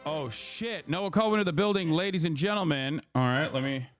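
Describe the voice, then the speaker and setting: A man's voice: fairly high pitch, moderate pace, soft background music. A man hosting a livestream in casual, joking chat, swearing and speaking straight to his chat. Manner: joking, profane, talks to chat. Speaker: streamer host.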